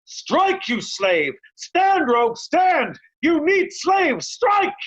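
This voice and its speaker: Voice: high voice, big pitch swings, extremely slow, a bit bright, dull audio, heavily acted. An actor playing a blunt, hot-tempered old loyalist in Shakespearean reader's theatre, scornful and emphatic, piling up insults. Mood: furious shouting.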